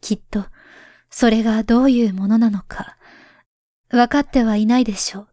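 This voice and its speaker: A woman voicing a grown woman who writes to her past self, speaking softly and gravely, often near a whisper. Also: on the high side, steady tone, moderate pace, no room sound, clean studio sound, lightly acted. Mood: earnest. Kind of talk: voice drama letter monologue.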